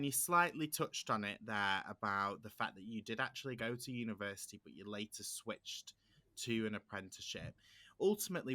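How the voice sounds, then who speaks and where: A man's voice: fairly low pitch, sharp tone. A man hosting a roundtable interview, upbeat and encouraging as he introduces guests and asks questions. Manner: upbeat, encouraging, fluent presenter. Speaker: panel host.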